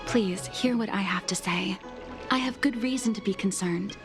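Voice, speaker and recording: A woman's voice: mid-range voice, moderate pace, over music, close to an everyday voice. A woman voicing a formal, disapproving maid in a video game, with a prim and deferential manner. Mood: grave, concerned. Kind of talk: scripted video game voice acting.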